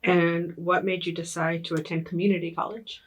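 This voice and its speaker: An easygoing interviewer leads an oral history conversation. The voice sits at a middle pitch, and the questions come across friendly and chatty. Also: flat delivery, moderate pace, distant mic, slight background noise. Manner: friendly, chatty, laughs easily.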